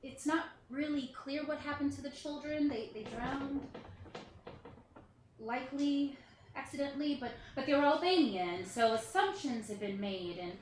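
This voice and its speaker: A brisk, seasoned aid worker, played by a woman in a play reading. She is frank and emphatic, with halting phrases and a slightly high voice. It sounds roomy and a bit far from the mic, with faint noise. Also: lightly acted. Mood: grave, urgent.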